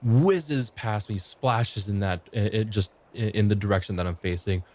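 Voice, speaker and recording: A man's voice: on the low side, normal speed, noisy background. A man playing a tabletop roleplaying game, narrating his character's actions from inside the role. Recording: music clearly playing behind the voice. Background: background music.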